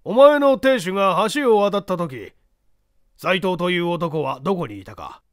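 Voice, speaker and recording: A man as a stern, high-pitched examining judge. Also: expressive, a little slow, clean tone, dry recording, studio quality. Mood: stern, probing. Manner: blunt, authoritative interrogation. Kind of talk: dramatised mystery story reading.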